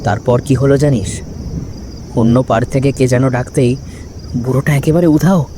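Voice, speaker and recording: A man's voice: mid-range voice, fairly even intonation, moderate pace, warm tone, a little hiss or hum, lightly acted. A man playing a fearful village gossip in a ghost story, recounting rumours in an emphatic, conspiratorial way.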